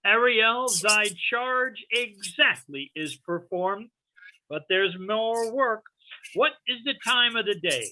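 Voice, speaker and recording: A woman's voice: fairly high pitch, expressive, sharp tone, heavily acted, rough recording. A woman playing a commanding, imperious sorcerer in a Shakespeare reading, declaiming theatrically to a servant spirit. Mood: businesslike. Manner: slow, stern, theatrical declamation.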